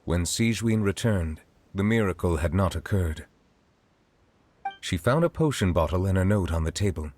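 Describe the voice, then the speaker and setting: A deep-voiced, grave chief justice. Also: male voice, lively intonation, a little mellow, slight background noise. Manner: measured, formal and grave. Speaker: narrator of past events. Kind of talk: game cutscene voice acting.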